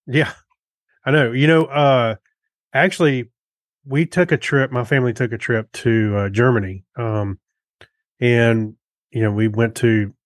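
A man's voice: medium pitch, steady tone, measured pace, long pauses, no room sound, clean studio sound. An American man chatting casually as a podcast co-host, with an easygoing, folksy way of talking. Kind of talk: casual chat.

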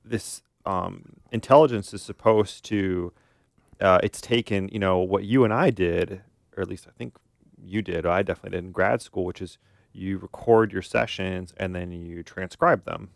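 A man's voice: low voice, normal speed, vocal fry, no echo, clean studio sound. A man co-hosting a casual podcast, talking in an informal, teasing way. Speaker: podcast co-host, therapist.

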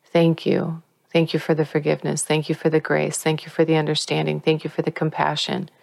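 A woman leading a spiritual teaching in an earnest, coaching way, slowing down to stress key words. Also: low voice, completely flat delivery, creaky, darkish tone, no echo.

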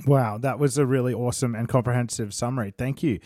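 A man hosting a chatty podcast interview, mid-pitched and encouraging as he asks follow-ups. The sound is dry and close, with no room echo. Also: fairly even intonation, fast talker, darkish tone. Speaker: podcast host. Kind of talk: casual interview chat.

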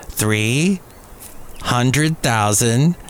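A middle-aged American man hosts a solo podcast in a casual, rambling style. His voice sits at an average male pitch, and he drops in jokey, self-mocking asides. Faint to clear ambient noise runs behind him. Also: animated, drawn-out words. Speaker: podcast host. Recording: clearly audible background noise.

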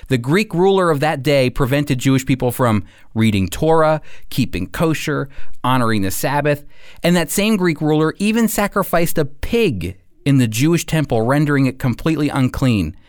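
A man giving a scripted radio sermon as host and Bible teacher, speaking earnestly with deliberate, emphatic phrasing. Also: a little high, expressive, no echo, clean studio sound.

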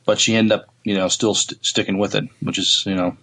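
A man co-hosting a podcast in casual chat, halting and searching for his words. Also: on the low side, little pitch movement, creaky, pushed and bright. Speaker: podcast co-host.

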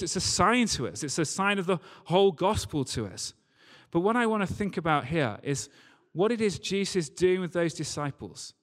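A man preaching a sermon, reading scripture and talking it through in a conversational, informal way. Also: fairly high pitch. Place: large reverberant hall, church service. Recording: long hall reverb.

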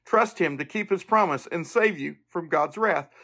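A man's voice: on the high side, normal speed, bright, forceful tone. A man hosting a podcast, speaking informally and earnestly in a monologue to listeners. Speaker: podcast host.

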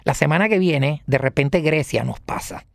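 Venezuelan male, high register with some creak, emphatic political talker who leans on rhetorical questions. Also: moderate pace, dry recording, studio quality. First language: Italian. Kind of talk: political rant in conversation.

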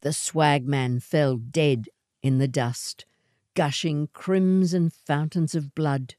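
A woman reading a short story aloud in a deliberate, theatrical storytelling style. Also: low voice, fairly even intonation, warm tone, no echo, studio quality. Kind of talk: dramatic scripted storytelling.

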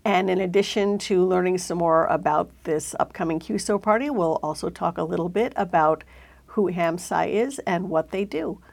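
Female podcast host, a bit low in pitch, presenting and asking questions. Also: moderate pace, gravelly. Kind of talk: scripted introduction and interview questions.

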